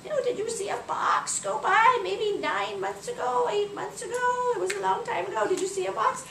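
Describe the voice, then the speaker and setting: Storybook old beggar woman, performed by a female storyteller, high, halting and pleading, distant echoey recording. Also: vocal fry, a bit bright, slight background noise, full character voice. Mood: plaintive, searching. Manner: halting, pleading, repeats herself. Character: goddess disguised as a feeble old beggar woman.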